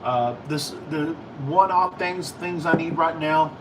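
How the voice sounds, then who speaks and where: A distant-sounding microphone picks up an American man over clear background noise. His voice sits a little high for a man. He talks like a hobbyist livestreamer, informal and halting, wandering through his answers as he goes. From United States. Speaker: livestream host, ham and CB radio hobbyist. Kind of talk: casual livestream chat answering viewer questions.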